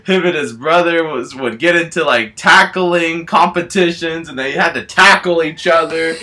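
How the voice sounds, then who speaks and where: A man improvising a rambling comic story in an excitable, giggly manner, often falling back on repeated filler phrases. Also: fairly high pitch, normal speed. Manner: excitable, giggly, repetitive filler phrases like "you know" and "all that stuff". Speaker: amateur storyteller in a homemade video.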